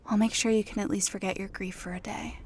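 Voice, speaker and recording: Woman playing a hushed, determined flirtatious barmaid. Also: medium pitch, flat delivery, fast talker, slightly rough, no room sound, close to an everyday voice. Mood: quiet resolve. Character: determined, flirtatious barmaid muttering softly to herself. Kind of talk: audiobook character acting.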